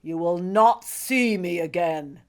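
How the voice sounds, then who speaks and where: A woman voicing a lonely, wounded male creature made from the dead, calling out loudly and slowly in a storybook reading. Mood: sorrowful. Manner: loud, pleading, slow booming calls.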